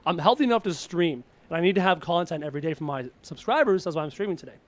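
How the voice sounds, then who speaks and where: A young Canadian man streams a game live and chats with viewers, his voice on the high side for a man. He talks loosely, reads out questions, and breaks into frustrated outbursts at the game. A slight sickly cough hangs over his voice. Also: animated, racing. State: sick with a cough. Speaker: gaming streamer. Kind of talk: casual live-stream chat while playing.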